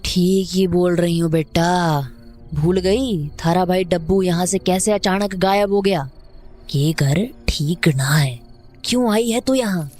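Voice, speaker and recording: A woman's voice: fairly low pitch, slow, darkish tone, soft background music. A woman playing a wary older village caretaker in an audio drama, speaking rural North Indian Hindi dialect in a folksy, cautioning way. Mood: ominous warning.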